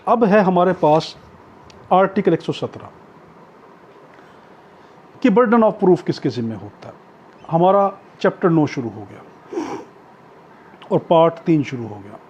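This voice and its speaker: Male lecturer, Pakistani accent, high mellow voice, explanatory and repetitive, recorded on dull lo-fi audio. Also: lively intonation, unhurried, pauses often, slightly rough. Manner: explanatory, repetitive, often checking with "okay". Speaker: law lecturer. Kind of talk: exam-prep law lecture with worked examples.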